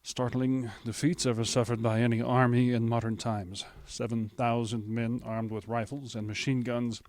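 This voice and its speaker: An actor playing a male studio announcer in a 1930s radio drama, grave and measured with small stumbles. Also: medium pitch, level tone, darkish tone, close to an everyday voice.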